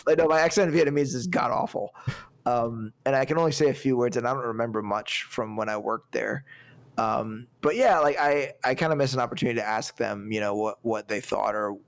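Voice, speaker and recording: A man in his twenties chats loosely on a movie podcast, circling back and restarting his sentences. His voice sits mid-pitch with a gravelly, creaky edge. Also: brisk. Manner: rambling, many restarts and fillers. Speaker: podcast co-host. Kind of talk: casual movie podcast chat.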